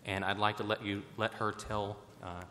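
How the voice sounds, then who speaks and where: An American man giving formal, somewhat halting remarks at a public roundtable as a bank president. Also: lowish voice, little pitch movement, sharp tone. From West Virginia, United States. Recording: echoey hall, noticeable background noise.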